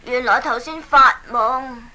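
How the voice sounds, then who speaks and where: A bewildered dreamer in a children's radio drama, wondering aloud. The voice is high and bright, and the pace is slow. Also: female voice, a little hiss or hum, exaggerated voice. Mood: puzzled, wondering. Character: puzzled dreamer just woken, talking to oneself.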